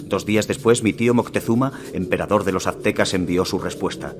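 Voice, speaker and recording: A man with a Castilian Spanish accent playing a grave Aztec jaguar warrior, narrating his campaigns in a measured way for a strategy game cutscene. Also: low voice, lightly acted. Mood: solemn, brooding. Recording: music under the voice. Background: music.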